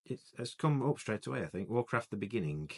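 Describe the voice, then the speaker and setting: A man's voice: fairly low pitch, sing-song, brisk, husky. A man co-hosting a casual discussion of films and games, talking as an enthusiastic, informal fan. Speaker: podcast co-host.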